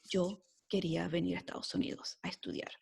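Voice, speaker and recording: A Venezuelan woman in her forties with a mixed accent softened by life in the United States, telling her story as a podcast guest in a lively way, full of fillers like "este" and "o sea". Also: lowish voice, brisk, creaky, slightly rough. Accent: mixed Venezuelan Spanish, softened by years in the US. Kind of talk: casual storytelling interview.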